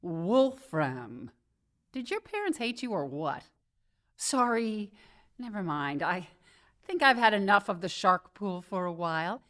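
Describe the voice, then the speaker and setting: A wisecracking city career woman with a theatrical, sarcastic edge. Her pitch sits mid-range for a woman but swings widely, in the manner of comedic radio drama. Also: sing-song, a little slow, fairly bright tone. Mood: brittle flippancy. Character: sardonic New York career woman just fired, wisecracking.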